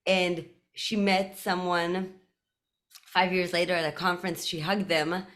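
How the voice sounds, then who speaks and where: Animated woman guest storyteller. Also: lowish voice, a little slow. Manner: animated storyteller, many "like" fillers. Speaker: podcast guest, community CEO. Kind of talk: casual conversational interview.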